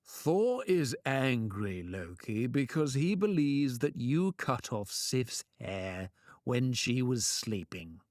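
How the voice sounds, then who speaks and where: A man's voice: medium pitch, sing-song, slow, darkish tone, dry recording, studio quality. A man voicing a stern, stately old king of the gods in a storybook tale, speaking in a grand, formal manner.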